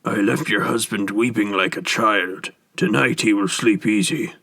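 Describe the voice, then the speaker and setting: A man's voice: on the low side, a little slow, creaky, sharp tone, full character voice. A man doing a kindly frail old man. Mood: weary.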